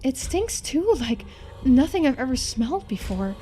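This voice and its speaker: A woman's voice: high voice, very animated, normal speed, warm tone, voice pushed up. A woman voicing a shaken young woman in a horror game, anxious and hesitant. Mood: shaken, disgusted. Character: shaken young woman exploring a creepy house with camera.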